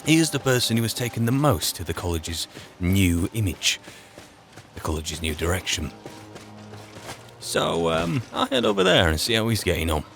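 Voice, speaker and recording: A man roleplaying a musing, wry adventurer in a fantasy game, speaking in a halting, dry, reflective way. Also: low voice, sing-song, gravelly, fairly bright tone, soft background music, heavily acted. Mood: musing, planning. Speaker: player narrating a roleplay. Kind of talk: in-character game roleplay monologue.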